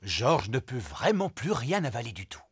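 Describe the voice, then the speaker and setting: A man giving clear, scripted narration for a children's cartoon. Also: mid-range voice, sing-song, moderate pace, no room sound. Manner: clear, storytelling tone. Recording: cartoon soundtrack with music.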